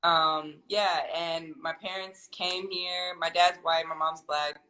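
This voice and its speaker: A woman's voice: low-pitched, steady tone, takes it slowly, sharp tone, low-quality recording. A woman from California, a guest on a casual interview, talking thoughtfully and rambling a little as she recalls things. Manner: thoughtful, rambling, many ums and restarts.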